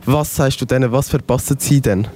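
Mellow male festival interviewer. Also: medium pitch, fairly quick. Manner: informal, loosely phrased questions. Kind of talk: casual interview. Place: outdoor music festival.

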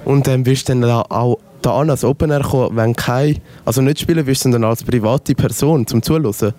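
Male interviewer, casual, dark relaxed voice, informal questions at an open-air festival. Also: mid-range voice, a little fast. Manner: informal, loosely phrased questions. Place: outdoor music festival.

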